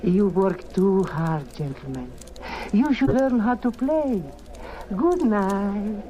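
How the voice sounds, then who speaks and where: Sly, swooping foreign scoundrel. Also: male voice, sits high, expressive, takes it slowly, warm tone. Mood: oily, enticing. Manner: wheedling, theatrical, ends demands with "no?". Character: sly, greedy underworld cafe proprietor, a charming male scoundrel. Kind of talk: old-time radio drama acting. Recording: noisy old radio recording.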